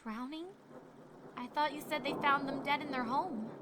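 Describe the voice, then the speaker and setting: A woman's voice: high voice, normal speed, noisy background, voice pushed up, close to an everyday voice. A woman voicing an earnest, curious young female unicorn in a fan fiction reading. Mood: puzzled. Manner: inquisitive, eager.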